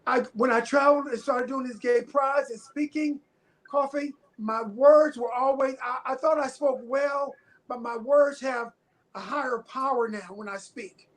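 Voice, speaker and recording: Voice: high voice, steady tone, a little slow, low-quality recording. A guest in their fifties giving personal testimony in a casual interview, speaking earnestly and repeating phrases for emphasis. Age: 50s.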